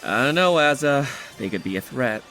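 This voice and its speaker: A man's voice: mid-range voice, lively intonation, normal speed, sharp tone, faint music behind, poor audio. A man playing a distrustful, challenging boy who protects his friends, in an audio drama. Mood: worried, wary. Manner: suspicious, challenging.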